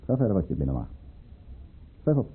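Casting: scheming tsarist governor and lover, suave and menacing, highly animated pitch, husky muffled sound of an old tinny radio play. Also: male voice, exaggerated voice. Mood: suave, mocking. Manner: suave, formal, coldly commanding, deliberate. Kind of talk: Dutch radio drama acting.

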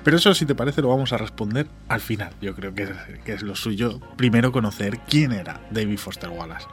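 Man, Spain accent, somewhat low voice, casual host, conversational, light music underneath. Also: animated, brisk. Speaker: show host. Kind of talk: casual talk presenting.

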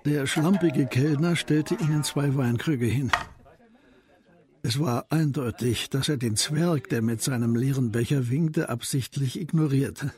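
A man narrating a historical crime radio play in a measured, literary style, as a sober storyteller. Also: mid-range voice, darkish tone, natural voice. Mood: sober, suspenseful.

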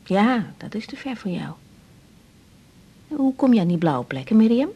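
An actress playing a caring, practical young female doctor in a radio drama, asking and explaining in a brisk, direct way. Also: mid-range voice, very animated, warm tone, breathy edge. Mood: concerned, patient. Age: young adult.

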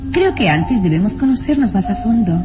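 An actress playing an anxious, reluctant young bride-to-be, with a hesitant, dramatic old radio delivery. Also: female voice, medium pitch, lively intonation, a little fast, lightly acted. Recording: vintage radio serial with music behind.

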